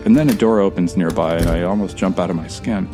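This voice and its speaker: A man as a stranded American time traveler, low voice, conversational, earnest, audio drama, music behind. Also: fast. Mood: uneasy, narrating. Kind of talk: first-person audio drama acting.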